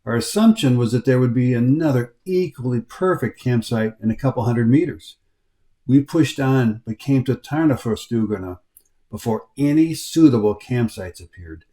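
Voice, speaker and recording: A middle-aged American man reads his hiking story as a voice-over, his pitch sitting in an ordinary middle range. He narrates plainly and steadily, with a wry, understated humor running through it. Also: expressive, normal speed, dark tone, no room sound. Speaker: hiking vlogger. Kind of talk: scripted travel narration.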